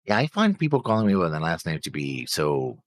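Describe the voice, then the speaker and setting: A man's voice: low voice, wildly swooping pitch, brisk, no echo, studio quality. A man hosting a reaction show, chatting casually in a rambling, explanatory way. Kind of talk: casual chat.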